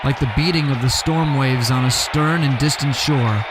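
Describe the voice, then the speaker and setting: A man giving a dramatic recitation of a poem, with big swings in pitch and pace and bursts of shouting. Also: normal speed, heavy background noise. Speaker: poetry reader.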